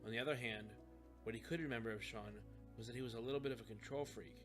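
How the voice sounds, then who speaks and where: A man's voice: medium pitch, fairly even intonation, fast talker. Male audiobook narrator over faint music. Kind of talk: scripted story narration.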